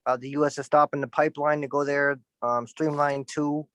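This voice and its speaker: A man's voice: on the high side, level tone, fairly quick, fairly bright tone, no room sound. A man in casual chat, blunt and opinionated, with loose "like" fillers.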